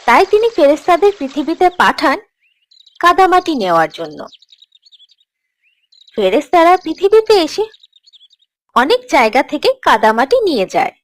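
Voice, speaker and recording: A woman playing a gentle, encouraging teacher who tells scripted religious stories to children in a cartoon. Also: high voice, very animated, normal speed, long pauses. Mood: narrating, instructive. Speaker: storyteller, teacher.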